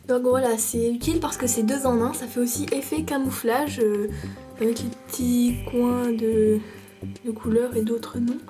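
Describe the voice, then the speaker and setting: A woman with a cold chats through a makeup tutorial. Her voice sits a little high, and she pauses constantly with fillers while keeping a mock-serious, playful tone. Music plays clearly in the background. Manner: hesitant, many fillers, playful parody tone. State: stuffy with a cold. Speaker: makeup vlogger.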